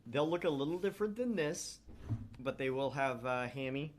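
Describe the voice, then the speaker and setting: A man hosting a gaming livestream, chatting casually and plugging things in a rambling, halting way. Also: mid-range voice, expressive. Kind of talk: casual stream chat and promotion. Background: background music.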